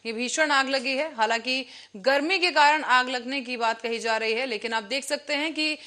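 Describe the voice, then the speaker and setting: High, bright woman anchoring news. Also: normal speed. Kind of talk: news presenting, scripted narration and live questions to a reporter.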